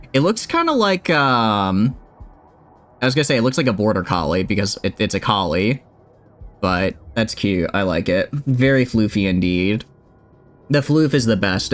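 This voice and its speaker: A man's voice: fairly low pitch, fairly bright tone. A man chatting with viewers while streaming a game, rambling and joking at his own expense. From United States. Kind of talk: casual gameplay stream chat.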